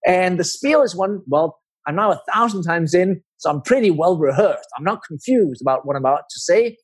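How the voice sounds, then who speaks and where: A middle-aged man with a Danish accent, telling his story as an interview guest in measured, explanatory phrases. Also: sits high, expressive, husky. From Denmark.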